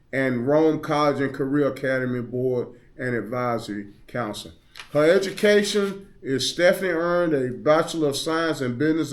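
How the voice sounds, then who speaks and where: A man's voice: on the high side, a little mellow. Man hosting a podcast interview. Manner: folksy, encouraging, reads guest bio aloud. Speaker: podcast host and coach.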